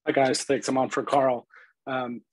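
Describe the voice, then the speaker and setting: A man's voice: medium pitch, little pitch movement, unhurried, slightly rough, rough recording. A man asking questions as an analyst on an earnings call.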